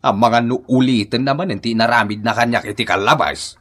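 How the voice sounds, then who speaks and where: Bright, forceful older Ilocano patriarch acted by a man. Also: mid-range voice, a little fast, close to an everyday voice. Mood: impatient. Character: bossy older village father-in-law. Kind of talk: Ilocano radio comedy drama acting.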